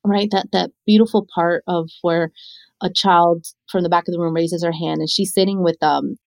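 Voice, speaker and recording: Creaky-voiced woman telling teaching stories. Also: lowish voice, steady tone, moderate pace, dry recording. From born in Chile, came to the United States when little. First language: Spanish. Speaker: educator and author. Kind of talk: conversational interview answer with anecdotes.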